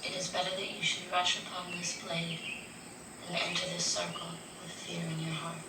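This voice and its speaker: A woman's voice: fairly low pitch, little pitch movement, normal speed, very noisy, close to an everyday voice. An actress playing a solemn, menacing witch in a film drama. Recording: distant echoey film clip, loud crickets.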